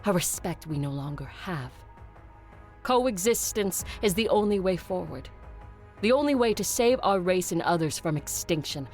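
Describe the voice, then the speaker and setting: A woman playing a fed-up, forceful vampire conqueror leader arguing her case in an audio drama. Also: on the low side, animated, moderate pace. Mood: resolute. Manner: forceful, emphatic oratory. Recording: music under the voice.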